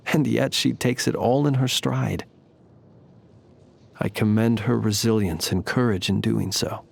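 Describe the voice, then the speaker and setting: A male actor plays a courtly, enigmatic knight from a fantasy video game, with a mid-pitched voice. He speaks formally and eloquently, with the modest, old-fashioned manners of a gentleman who keeps watch with his lamp. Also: natural voice. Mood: reflective, courteous. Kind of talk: scripted game character voice lines.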